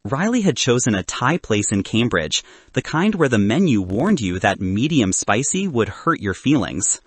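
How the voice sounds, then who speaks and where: Male narrator for contemporary fiction. Husky, wry and animated, recorded dry. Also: medium pitch, moderate pace, no room sound. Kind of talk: scripted audiobook narration.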